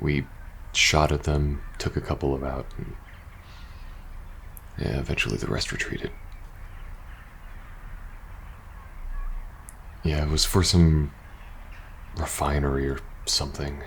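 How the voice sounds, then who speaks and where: A man playing a weary, worried young soldier in an intimate ASMR roleplay, speaking softly and hesitantly. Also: a bass voice, vocal fry, slight background noise, heavily acted. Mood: subdued, uneasy. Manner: hesitant, halting, sighing between phrases. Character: weary, worried young male soldier in post-apocalyptic faction.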